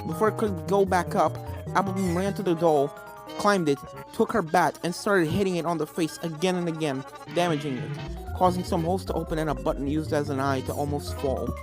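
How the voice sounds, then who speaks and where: Low-pitched woman narrating over music. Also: fairly quick. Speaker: fan fiction narrator. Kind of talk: scripted story narration. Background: video game music.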